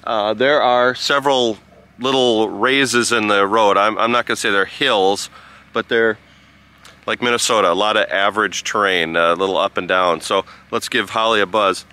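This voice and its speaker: A man giving a casual vlog-style review outdoors in a halting, rambling way. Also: on the low side, steady tone, sharp tone. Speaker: product reviewer and amateur radio operator. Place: outdoors by a rural road.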